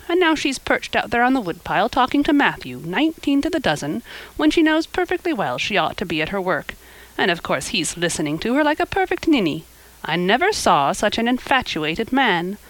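A woman voicing a stern, practical older woman in a storybook, speaking in a brisk, scolding, matter-of-fact manner. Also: medium pitch, big pitch swings, fairly bright tone, dry recording, rough recording. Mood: exasperated. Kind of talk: audiobook character acting.

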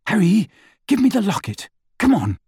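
A man voicing a clever, anxious teenage girl witch who talks briskly and impatiently. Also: a little high, very animated, warm tone, husky, dry recording, studio quality, pitched above the performer's own voice.

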